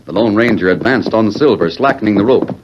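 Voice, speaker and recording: A man's voice: fairly low pitch, a little fast. A man narrating a 1940s radio western in a formal, dramatic storytelling style. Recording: noisy, muffled 1940s radio recording.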